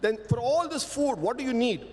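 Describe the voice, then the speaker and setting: A man whose first language is Tamil speaks in a high, carrying voice on a festival panel. He talks with emphasis, poses rhetorical questions and stretches out key words. The sound rings with a long hall reverb. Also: lively intonation, normal speed, darkish tone. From India. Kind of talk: panel talk.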